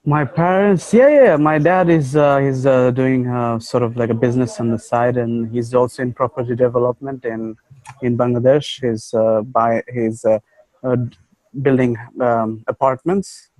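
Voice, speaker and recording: A young man from Saudi Arabia with a warm, mellow voice talks on a video call. He hesitates often and fills gaps with "uh" and "like". The sound is dry and close, with no room echo. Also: medium pitch, steady tone, normal speed. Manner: hesitant, many fillers, brief acknowledgments. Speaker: new business owner.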